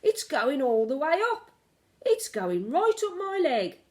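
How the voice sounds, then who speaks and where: A storybook kitchen cook, frantic and outraged, as acted out by a woman reader. He yells in exclamations, his voice pushed high and loud with alarm and fury. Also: big pitch swings, slow pace, exaggerated voice. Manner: yelling, exclamatory. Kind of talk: children's storybook reading.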